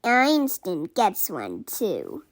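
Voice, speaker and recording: Woman as kind, expressive storybook mom, slow-paced. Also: medium pitch, voice pushed down, exaggerated voice. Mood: encouraging. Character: kind, reassuring mother leading a girls' camping group.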